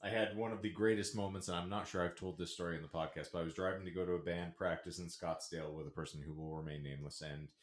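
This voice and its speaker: A man's voice: deep voice, steady tone, quick pace, hardly any pauses. A man hosting a casual podcast in a wordy, theatrical, playful manner. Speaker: podcast host. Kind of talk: casual podcast banter with florid sign-offs. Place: small home room with couch, indoors. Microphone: podcast mic on a stand. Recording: roomy, echoey living-room sound.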